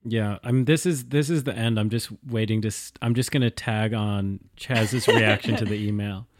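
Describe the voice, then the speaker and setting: A man's voice: lowish voice, fairly even intonation, moderate pace. A man co-hosting a podcast in casual banter, laid-back and rambling as he talks. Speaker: podcast co-host.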